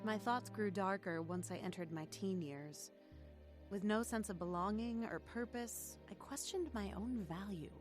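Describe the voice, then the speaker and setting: A woman's voice: medium pitch, close to an everyday voice. Woman playing a troubled, lonely teenage girl over music. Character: troubled, lonely, cynical teenage girl telling her story. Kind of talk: audio drama acting and first-person narration.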